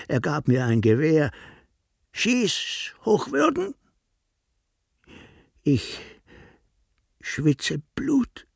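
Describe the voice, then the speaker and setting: An actor playing a guilt-ridden village priest, confessing haltingly and solemnly in a radio drama, with a husky, gravelly voice. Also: male voice, a little high, dry recording, clean studio sound, heavily acted. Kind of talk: slow, literary radio drama monologue.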